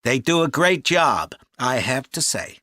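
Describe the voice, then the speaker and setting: A helpful camp manager answering an enquiry. His voice is bright and animated, and he takes his time as he explains things. It sounds scripted and informative, recorded very dry. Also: mid-range voice, no echo, full character voice. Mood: helpful, upbeat. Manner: informative, measured, upbeat. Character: helpful, upbeat male cycle camp manager taking a call. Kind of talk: acted phone enquiry for a listening test.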